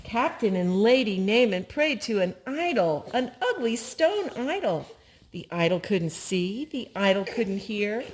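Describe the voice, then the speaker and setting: Older woman reading slowly, with animated pitch. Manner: simple, deliberate phrasing with repetition for young listeners. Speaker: storyteller. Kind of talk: children's story read-aloud.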